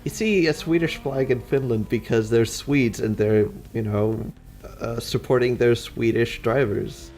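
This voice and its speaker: A man's voice: medium pitch, measured pace, darkish tone. A man giving casual, conversational commentary over video game play. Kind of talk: casual gameplay commentary chat.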